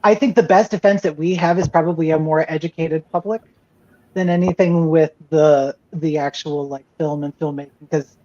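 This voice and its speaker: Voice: medium pitch, fairly even intonation, normal speed, mellow, no room sound. A film critic on a panel who speaks hesitantly, thinking aloud with many fillers, over a video call. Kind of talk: panel discussion. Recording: dry, muffled lo-fi sound.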